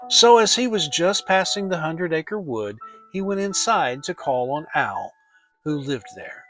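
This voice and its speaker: A man narrates a children's storybook dramatically. His voice sits high for a man and moves with plenty of melody. The delivery is expressive and theatrical. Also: measured pace, soft background music, rough recording. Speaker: audiobook narrator.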